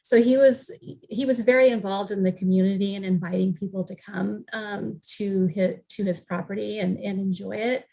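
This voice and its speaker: A woman explaining local history conversationally on a panel, with tentative, measured phrasing. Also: medium pitch, fairly even intonation, vocal fry, warm tone, rough recording.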